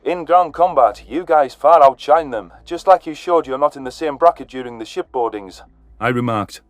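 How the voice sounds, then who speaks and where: A man's voice: mid-range voice, moderate pace, dry recording, natural voice. A man playing a grieving, vengeful alien soldier who narrates a sci-fi war story in earnest, formal, articulate language. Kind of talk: first-person sci-fi story narration and dialogue.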